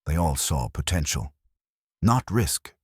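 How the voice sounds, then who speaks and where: A man voicing a nervous, earnest young woman who confesses her part in a scheme, his voice pitched up and hesitant. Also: lively intonation, slow, husky, dry recording, clean studio sound, exaggerated voice.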